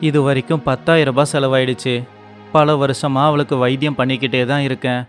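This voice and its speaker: A man voicing a poor, devoted rickshaw driver in a storybook tale, earnest, gentle and pleading in manner. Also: medium pitch, flat delivery, fairly quick, faint music behind, close to an everyday voice. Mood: worried.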